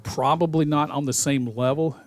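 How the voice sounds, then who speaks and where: A man doing color commentary on a high school football radio broadcast from a stadium press box, folksy and rambling with many fillers. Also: steady tone, normal speed.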